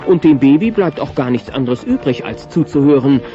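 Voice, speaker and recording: Animated male storyteller over music. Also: medium pitch, brisk. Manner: playfully ironic. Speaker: narrator. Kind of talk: scripted children's story narration. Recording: muffled cassette with music behind.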